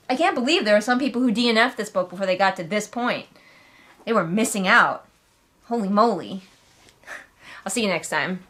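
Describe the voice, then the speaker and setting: A woman's voice: mid-range voice, fairly bright tone. A woman giving casual, comedic book commentary as host, with an exasperated, sarcastic and emphatic way of talking. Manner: acts out characters in mocking asides. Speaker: host of a book readalong vlog.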